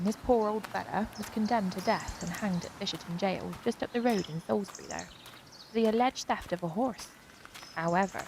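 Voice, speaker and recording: A teasing countrywoman in an audio drama. Her voice is gravelly and creaky, and she talks in a wry, colloquial, storytelling way. Faint outdoor ambience sits behind her. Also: medium pitch, noisy background. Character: teasing, chatty local countrywoman, keen teller of lore.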